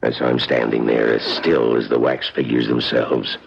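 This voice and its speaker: An actor playing a theatrical museum guide who recounts murders with relish in a radio drama, in a gravelly voice. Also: male voice, level tone, normal speed, exaggerated voice. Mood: grave, suspenseful. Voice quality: very deep, gravelly. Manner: theatrical storytelling. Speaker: narrator and actor. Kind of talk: old-time radio drama acting. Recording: dull vintage radio recording.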